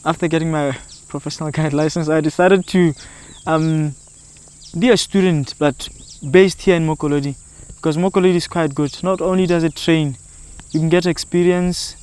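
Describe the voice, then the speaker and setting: A man's voice: a little high, steady tone, moderate pace, a little mellow, smooth. A young man, an environmental educator and guide, introducing himself in an earnest interview with small hesitations.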